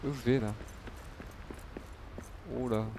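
A man's voice: mid-range voice, steady tone, slow, background noise. A man giving casual live game commentary, muttering to himself and swearing freely. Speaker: game streamer.

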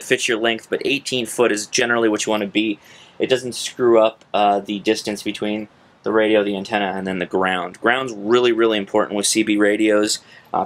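Low-voiced young American man explaining. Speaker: how-to presenter. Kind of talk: casual instructional walkthrough. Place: in and beside a parked car outdoors.

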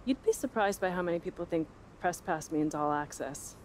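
An American woman in her thirties playing a sharp, ambitious sports reporter in a video game, with a pointed, provocative manner. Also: on the low side, expressive, moderate pace, slight background noise, lightly acted, rough recording. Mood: teasing, sardonic. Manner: pointed, sardonic, self-assured. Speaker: sports journalist character. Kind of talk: video game cutscene dialogue.

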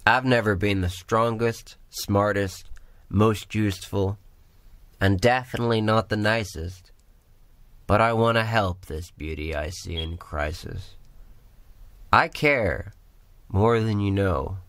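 Slow, low-voiced male spoken word poet. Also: a bit bright, dry recording. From Northern Ireland. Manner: measured rhythmic recitation with rhymes. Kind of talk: spoken word poetry performance. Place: small room or pub.

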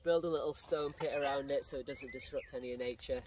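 A man with a relaxed, rambling manner, chatting casually outdoors by an estuary for a vlog. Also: mid-range voice, fast talker. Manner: relaxed, rambling, many fillers. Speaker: vlogger showing a campsite view. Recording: outdoor noise behind.

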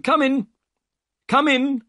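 Man putting on a high, urgent calling master. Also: slow, no room sound, clean studio sound, full character voice. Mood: urgent, summoning. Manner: calling out, commanding, excitable. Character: unseen man of the house, calling out impatiently. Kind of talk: audiobook character voice.

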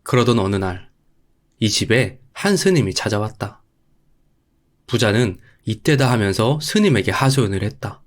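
Male folktale narrator with lively intonation. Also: lowish voice, normal speed. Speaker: storyteller, narrator.

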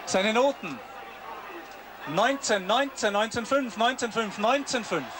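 A man's voice: high voice, animated, unhurried. A man giving live ski flying commentary on television. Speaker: sports commentator. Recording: noisy outdoor sports broadcast, crowd noise.

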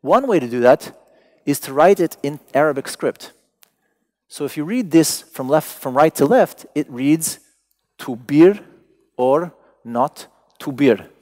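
A man whose mother tongue is Persian gives a conversational public lecture in English from a stage, searching for words and stressing them deliberately. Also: on the high side, lively intonation, a little mellow. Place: large dim hall with stage and seated audience.

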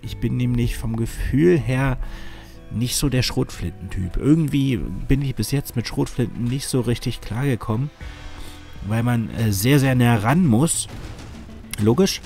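A man's voice: medium pitch, gravelly, darkish tone. A man with a cold and a sniffle, rambling with many fillers while commenting on a game he is playing. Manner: rambling, hesitant, lots of fillers. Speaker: gaming YouTuber. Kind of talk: casual let's-play commentary.

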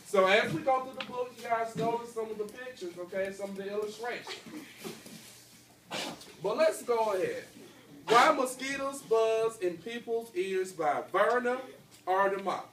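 A man's voice: high voice, normal speed, a bit bright. A man in his thirties reading aloud to a class as their teacher, often stopping to check they understand. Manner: checks understanding often, tagging phrases with okay. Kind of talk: classroom read-aloud with questions to pupils. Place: school classroom, indoors. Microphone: phone held by someone across the room. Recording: echoey noisy classroom from a distance, phone recording.

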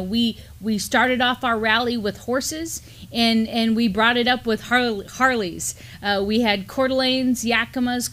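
A woman's voice: a little high, fairly bright tone. A middle-aged woman with an American accent explains local news and history conversationally as a co-host in a small studio. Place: small indoor studio, seated at a desk.

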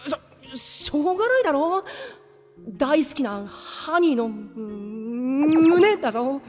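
A woman's voice: high voice, big pitch swings, takes it slowly, big-room sound, music in the background, full character voice. A woman voicing a teasing, easily flustered teenage boy who plays doting young husband in an anime romantic comedy drama. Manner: teasing, exasperated.

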